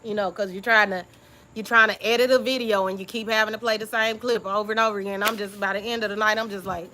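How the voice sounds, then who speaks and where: A woman's voice: medium pitch, quick pace, noisy background. A woman YouTube creator talking through old journal entries in a casual live-stream chat, confiding and reflective, often checking in with her listeners with "okay?". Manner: relaxed informal speech.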